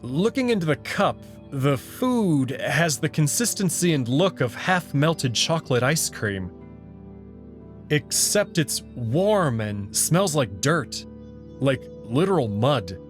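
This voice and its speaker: A man reading expressive first-person narration for a visual novel story. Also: a little high, slow pace. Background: background music.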